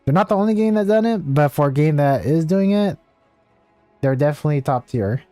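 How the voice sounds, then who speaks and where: A man chats casually as he streams a game. His voice is mellow and relaxed, and he rambles hesitantly. The sound is dry and close, with faint music behind. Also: a little high, fairly quick, dry recording. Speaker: game livestreamer. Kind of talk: casual livestream chat with viewers.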